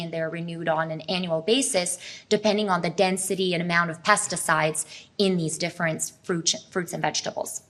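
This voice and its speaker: A middle-aged woman from Serbia, giving an earnest, explanatory presentation to a live audience at a health expo and asking them questions. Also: on the low side.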